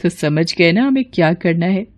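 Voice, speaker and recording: A woman's voice: lowish voice, animated, moderate pace, close to an everyday voice. A woman voicing a vain, scheming elder daughter-in-law in a cartoon family melodrama, with a theatrical, wheedling manner.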